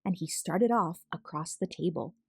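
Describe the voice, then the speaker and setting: A woman reading a children's picture book aloud as a storyteller, expressive and deliberate, drawing out words for emphasis. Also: fairly low pitch, creaky, husky, dry recording, studio quality. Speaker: storyteller, read-aloud host.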